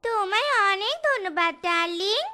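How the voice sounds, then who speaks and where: A woman voicing a sassy, demanding young female fox in a cartoon, with a squeaky voice and a bossy, theatrical manner. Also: animated, moderate pace, pushed and bright, exaggerated voice. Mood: coy, demanding. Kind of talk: cartoon voice acting.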